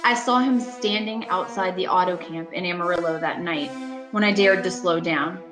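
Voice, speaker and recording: A woman's voice: fairly low pitch, normal speed, lightly acted. A woman playing a frightened, desperate lady driver in a radio thriller, urgent and dramatic. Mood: haunted dread. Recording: music behind the voice.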